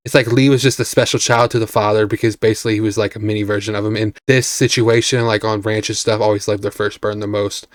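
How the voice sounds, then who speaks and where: Guy rattling off a show recap real fast, tired voice, dry close mic, keeps saying "basically". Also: medium pitch, no room sound. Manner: rambling, many fillers like "what's called" and "basically". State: tired, voice wearing out. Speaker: reaction channel host. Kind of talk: casual spoken recap.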